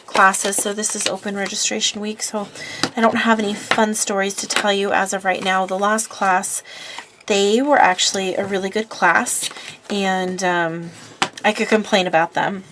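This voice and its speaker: A woman's voice: medium pitch, little pitch movement, normal speed, noisy background. A woman with a congested voice from a cold, chatting casually and rambling with fillers while working at her craft desk. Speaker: papercraft video host.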